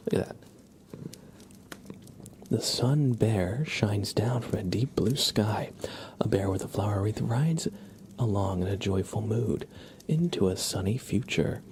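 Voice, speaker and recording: A man hosting an ASMR livestream in a soft, breathy half-whisper with a creaky low voice, playful and unhurried, close on the microphone. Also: slight background noise. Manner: playful, gently joking, unhurried. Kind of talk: ASMR tarot card reading for viewers.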